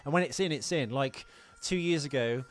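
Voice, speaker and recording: A man's voice: on the high side, normal speed. A man co-hosting a casual film podcast, talking in a rambling, self-deprecating way. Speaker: podcast co-host. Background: music playing behind the talk.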